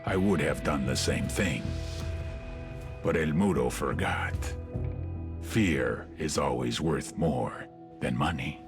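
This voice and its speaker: An actor playing a cold, calculating drug lord who narrates in a measured, aphoristic way for a game cutscene. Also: male voice, very deep voice, over music. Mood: cold, assured.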